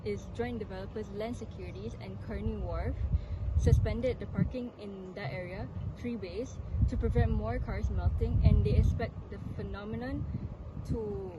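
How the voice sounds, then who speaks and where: A woman's voice: mid-range voice, fairly even intonation, moderate pace, lo-fi sound. A woman presenting a documentary from a script. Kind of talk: scripted narration. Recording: outdoor recording with clear traffic noise. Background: urban outdoor ambience, vehicles.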